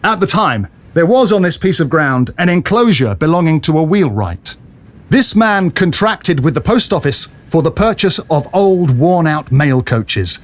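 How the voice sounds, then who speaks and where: A man's voice: on the high side, very animated, measured pace. A man narrating a story dramatically from a script, as in an audio drama.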